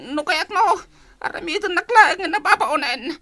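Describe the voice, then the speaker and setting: A woman's voice: extremely high pitch, expressive, moderate pace, bright, forceful tone, dry recording, clean studio sound, full character voice. A woman playing a whiny, deferential young household helper in a comedy radio drama, with exaggerated pleading delivery.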